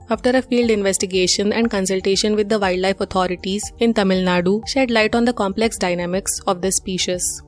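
A woman with an Indian accent presents a scripted news bulletin as the newsreader. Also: mid-range voice.

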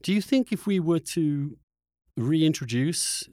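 A man's voice: fairly high pitch, animated, measured pace, darkish tone, no echo, studio quality. A man hosting a reflective conversational interview, searching for words and often stammering over the starts of them. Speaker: podcast host and interviewer.

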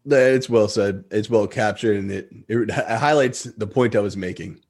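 Male podcast host, fairly low voice. Casual, informal, affirming conversational delivery. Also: normal speed, a little mellow. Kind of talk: casual chat.

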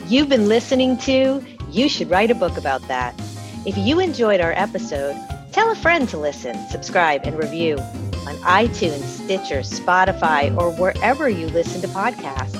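A woman's voice: lowish voice, sing-song, moderate pace, music in the background. A woman hosting an interview podcast, putting questions to a guest and addressing listeners. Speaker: podcast host.

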